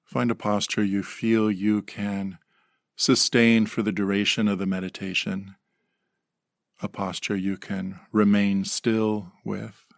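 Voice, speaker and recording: Male meditation guide, lowish pitch with vocal fry, gentle delivery with pauses. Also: little pitch movement, no room sound, studio quality. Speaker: meditation teacher.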